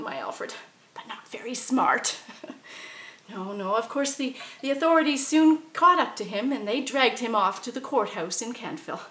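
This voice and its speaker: A woman playing the ghost of a murdered 1870s wife, telling her story theatrically, swinging from shrieks to hushed confiding. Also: fairly high pitch, reverberant. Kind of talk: costumed ghost monologue.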